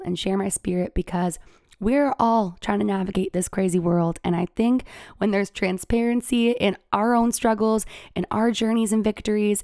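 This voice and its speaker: Female podcast host, solo motivational chat: slightly raspy with vocal fry, earnest and confiding, close dry sound. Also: mid-range voice, mellow, dry recording.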